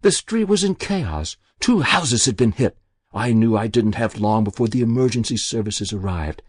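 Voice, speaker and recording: A man's voice: fairly low pitch, animated, normal speed, slightly rough, no echo, clean studio sound, pitched above the performer's own voice. A man voicing an elderly confessing woman. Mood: pained. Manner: quiet, pained, confessional.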